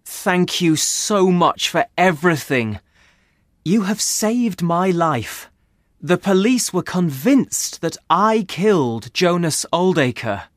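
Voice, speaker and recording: A man's voice: high voice, expressive, slow, no room sound, pitched above the performer's own voice. A man voicing an earnest, relieved young man who pours out his thanks in an audiobook. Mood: grateful. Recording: dry close studio sound.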